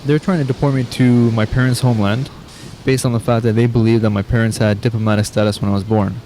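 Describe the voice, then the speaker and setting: A man's voice: mid-range voice, level tone, fast talker, mellow, slight background noise, poor audio. A man in his twenties with a Canadian accent explaining his own case in an interview. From Ottawa, Canada. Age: 20s.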